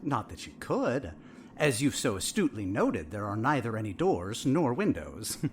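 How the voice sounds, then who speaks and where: A man's voice: mid-range voice, very animated, moderate pace, a little hiss or hum, natural voice. Smug male therapist played by a man, arch and evasive, hugely varied intonation, audio drama. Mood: smug, wry. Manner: arch, wryly formal.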